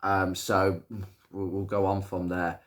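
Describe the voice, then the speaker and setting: Low, slightly croaky British man. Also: completely flat delivery, takes it slowly. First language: English. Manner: chatty, hesitant, rambling asides. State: hay fever. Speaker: presenter. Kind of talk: informal car video commentary, reading out a viewer's message.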